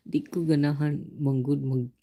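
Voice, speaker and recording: A host from the Philippines with a Filipino accent, chatting casually and quietly on a livestream from home. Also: medium pitch. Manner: speaking softly and quietly to avoid disturbing neighbors. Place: home, indoors.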